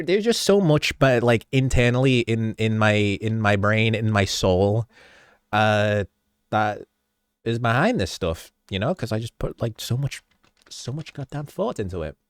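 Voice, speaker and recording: A man's voice: mid-range voice, no echo, studio quality. A man with a stuffy, slightly sick voice, rambling through a casual behind-the-scenes talk about his video edits, often stopping himself mid-sentence and chuckling.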